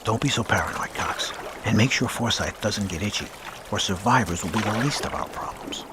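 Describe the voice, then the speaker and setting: A man's voice: fairly low pitch, a little fast, background noise, natural voice. A man playing a stern naval commanding officer with dry sarcasm in an audio drama. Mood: dry, wry.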